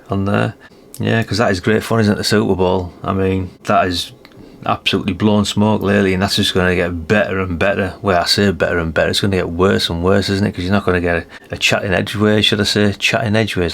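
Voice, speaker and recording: British male hobbyist vlogger, fifties, gravelly low voice, rambling and informal, musing aloud with plenty of tag questions. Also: a bit bright. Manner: musing, unhurried, frequent tag questions and self-corrections. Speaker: radio hobbyist presenting a video. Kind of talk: casual rambling vlog chat.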